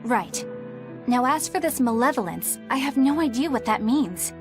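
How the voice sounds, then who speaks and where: A woman's voice: sits high, expressive, normal speed. A woman playing an analytical young woman who explains things thoughtfully in a video game cutscene. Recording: game music behind voice.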